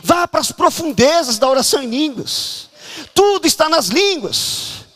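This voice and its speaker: A man from Brazil preaching a fervent charismatic sermon at a church conference, often shouting and urging the congregation to act. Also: very high voice, very animated, normal speed.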